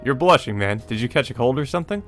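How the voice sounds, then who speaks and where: A man's voice: medium pitch, animated, fast talker, a bit bright, lightly acted. A man voicing an easygoing, teasing male private investigator in a visual novel, casual and wry. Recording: music behind the voice.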